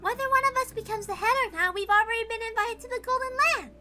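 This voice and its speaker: A woman's voice: very high-pitched, quick pace, pushed and bright, soft background music, voice pushed up. A woman voicing an eerie, smug little girl who speaks in a creepily untroubled way in a dramatic story reading. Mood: creepily serene.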